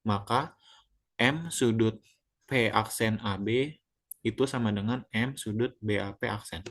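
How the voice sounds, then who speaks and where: A young man from Indonesia walks his class through a geometry proof. His voice sits a little low, and he talks haltingly, stopping on fillers and correcting himself as he goes. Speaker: student presenter.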